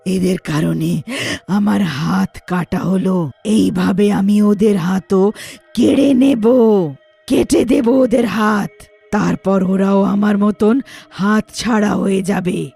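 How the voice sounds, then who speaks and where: A woman voicing a vengeful young witch ghost with loud, dramatic threats and wails for a moral horror story. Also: mid-range voice, fairly even intonation, slow, creaky, husky, soft background music, heavily acted. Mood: gloating, menacing.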